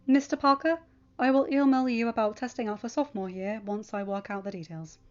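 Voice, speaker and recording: A woman's voice: a little high, steady tone, close to an everyday voice. Woman voicing a formal, courteous school principal. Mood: accommodating. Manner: formal, measured, accommodating. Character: courteous, apologetic, authoritative male school principal. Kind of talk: audiobook character voice for fan fiction. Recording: dull lo-fi home recording.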